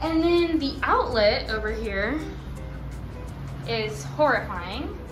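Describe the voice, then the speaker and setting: An eighteen-year-old woman vlogging into a phone held at arm's length, chatting casually with a sarcastic, deadpan edge. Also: on the high side, takes it slowly, over music. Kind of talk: casual vlog chat. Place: car, then small hotel room.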